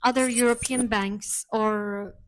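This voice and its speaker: A woman's voice: a little high, fairly even intonation, takes it slowly, slight background noise. A woman from Turkey, co-hosting a casual chat and explaining things with frequent hesitations. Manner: explanatory, many hesitation fillers. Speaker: co-host.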